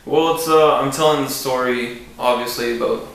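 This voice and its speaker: A man with a deep voice, a country musician, talking casually about his song in a relaxed, rambling way with many fillers. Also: moderate pace, loud music behind the voice. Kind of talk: casual interview talk. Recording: echoey room with faint noise.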